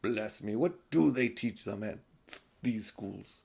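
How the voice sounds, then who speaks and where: A man voicing a kindly, knowing old professor in a storybook, musing and gently admonishing. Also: lowish voice, slow pace, vocal fry, husky, voice pushed down, exaggerated voice. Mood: musing, wry. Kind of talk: storybook character voice.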